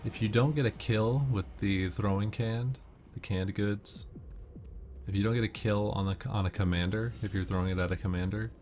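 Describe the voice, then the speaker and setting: A man doing casual video game walkthrough commentary, explaining tips in a measured way. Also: lowish voice, steady tone, darkish tone, faint music behind. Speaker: gamer giving walkthrough commentary.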